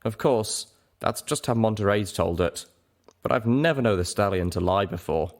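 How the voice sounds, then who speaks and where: A man voicing a gentle male pony legal clerk, formal and matter-of-fact, in a story reading. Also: fairly low pitch, normal speed, lightly acted. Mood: sombre.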